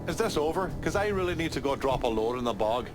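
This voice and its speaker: A man's voice: mid-range voice, expressive, fast, music in the background, natural voice. A man playing a brash, crude gangster, cocky and irreverent, in a video game cutscene. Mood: disgruntled.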